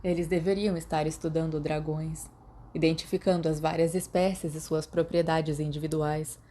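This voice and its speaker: A woman with a Brazilian accent, calmly reading a fan fiction audiobook aloud. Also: low voice, moderate pace, slight background noise. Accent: Brazilian Portuguese. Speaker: podfic reader.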